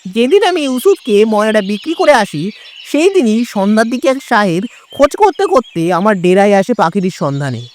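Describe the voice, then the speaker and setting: A woman's voice: medium pitch, big pitch swings, normal speed, a little mellow, slight background noise, close to an everyday voice. A woman playing a street bird seller being questioned in an audio drama. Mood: recounting. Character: foreign-born ex-sailor bird seller under questioning, male in story.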